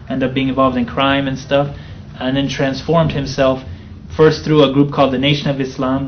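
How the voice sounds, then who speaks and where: A man in his thirties from the Chicago area, a guest telling his story in a soft-spoken, thoughtful way. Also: medium pitch. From United States (near Chicago). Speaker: interview guest. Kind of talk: relaxed storytelling interview. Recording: slightly dull sound with faint noise.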